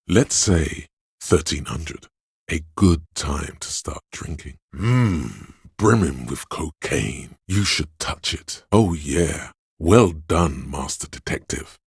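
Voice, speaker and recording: A man's voice: no room sound, clean studio sound, full character voice. A man voicing a video game character, a sleazy, hedonistic inner voice that craves drugs and thrills, insinuating and savoring its words theatrically. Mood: sly, indulgent. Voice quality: very deep, gravelly, husky.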